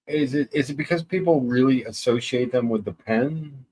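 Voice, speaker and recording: A man's voice: mid-range voice, moderate pace, darkish tone, breathy edge. A middle-aged man co-hosting a casual chat, reading listener questions aloud and musing with some hesitant restarts. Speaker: co-host.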